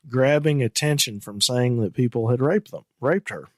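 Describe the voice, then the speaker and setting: A man's voice: medium pitch, no room sound, clean studio sound. A man hosting a casual podcast discussion, talking in an earnest, emphatic way that turns heated on some points. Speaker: podcast host.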